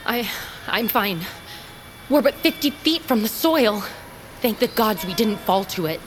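An actress playing an idealistic, loyal young scavenger in an audio drama, speaking earnestly in old-fashioned fantasy phrasing. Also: female voice, a little high, lively intonation, unhurried, faint music behind. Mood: shaken, resolute.

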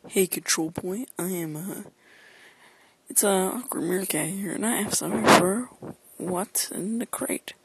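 A man leaving a casual listener message in a hushed, halting near-whisper. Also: high-pitched, gravelly, noisy background. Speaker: listener calling in with a recorded message.

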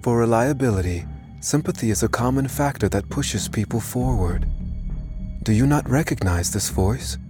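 Man voicing a slow, cryptic AI helper. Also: medium pitch, expressive, over music, lightly acted. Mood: cool, clinical. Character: mysterious calm artificial intelligence, cold, cryptic helper.

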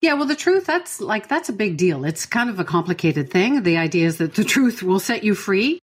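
Woman podcast co-host, middle-aged, mid-pitched, jokey and teasing. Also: very animated, normal speed, breathy edge. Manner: joking, playful teasing. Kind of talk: casual chat.

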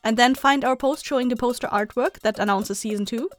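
A woman hosts a podcast with a fairly high, enthusiastic voice. She addresses listeners casually and chattily, over soft music. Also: brisk, no echo. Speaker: podcast creator and host. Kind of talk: casual outro announcement to listeners.

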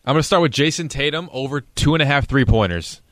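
A man's voice: fairly high pitch, expressive, fast talker, no echo, clean studio sound. A man giving casual sports betting picks as a radio show contributor.

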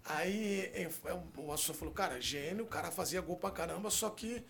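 A man's voice: high voice, a little fast, creaky, fairly bright tone. A man with a Brazilian accent hosting a casual chat, a sports reporter asking eager questions and laughing. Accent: Brazilian Portuguese.

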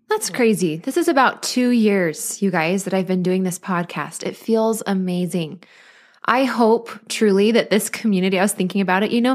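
A woman in her thirties, a mother and life coach, hosting her own podcast in an earnest, bubbly, chatty way. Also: a little high. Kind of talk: casual solo podcast monologue.

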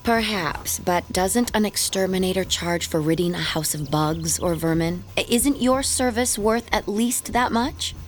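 An actress playing a young, practical wife who coaxes in a polished, persuasive way, in a horror radio drama. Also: female voice, medium pitch, unhurried, hardly any pauses, lightly acted. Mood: coaxing, concerned. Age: young adult. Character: young, practical, coaxing wife of a ghost hunter.

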